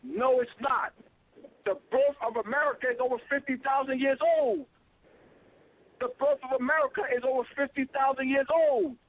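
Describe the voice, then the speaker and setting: A man giving an insistent talk, stopping often to fill pauses with ums and uhs, heard over a phone line. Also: very high voice, fairly even intonation. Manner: insistent, repetitive, many filler ums and uhs. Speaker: guest speaker. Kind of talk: call-in lecture, talking to a host. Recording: tinny phone line, dry.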